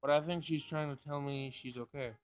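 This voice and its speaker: Man, slightly high voice, casual read-aloud, visual novel narration, occasional stumbles. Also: fairly even intonation, fairly quick, smooth. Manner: stumbles over words while reading.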